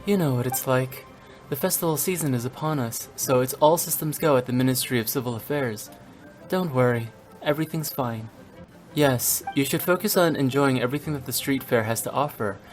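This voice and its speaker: A woman playing a gentle, diligent government secretary in a video game, speaking politely and soothingly. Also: a bass voice, brisk, faint music behind, low-quality recording. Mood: courteous, reassuring. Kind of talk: English video game voice acting.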